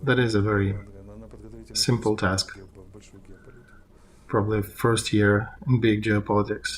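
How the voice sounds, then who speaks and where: A man interpreting a political discussion live, in halting phrases as he translates on the fly. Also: lowish voice, flat delivery.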